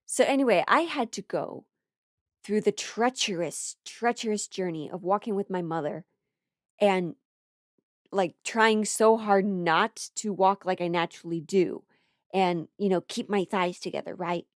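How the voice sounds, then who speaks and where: An American woman with a mid-pitched voice performs a casual comedy monologue. She tells her story playfully, with theatrical emphasis and mock-serious asides. The sound is dry and free of room echo. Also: measured pace.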